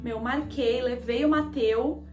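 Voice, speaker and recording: A woman's voice: a little high, slow pace, echoey room, soft background music. A young Brazilian woman vlogger telling a personal story to her viewers in a chatty, confessional way. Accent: Brazilian Portuguese. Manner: chatty, addresses viewers directly as "gente". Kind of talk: casual confessional storytelling to camera.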